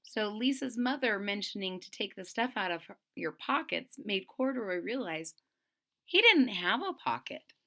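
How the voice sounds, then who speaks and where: A woman's voice: mid-range voice, lively intonation, moderate pace, bright, forceful tone. A woman reading a children's story aloud expressively and retelling it in simple words.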